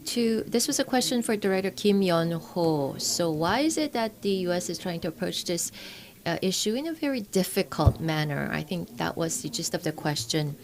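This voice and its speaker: Woman interpreting a forum, halting. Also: lowish voice, animated. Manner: halting, with frequent pauses and restarts. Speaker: simultaneous interpreter.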